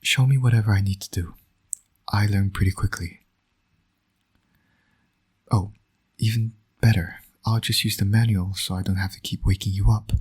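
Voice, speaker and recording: A man playing a flirtatious villain and doting father in romantic roleplay audio, speaking in a smug, teasing, intimate way with a breathy, often near-whispered voice. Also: fairly low pitch, fairly even intonation, normal speed, pauses often, darkish tone. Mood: soft, smug.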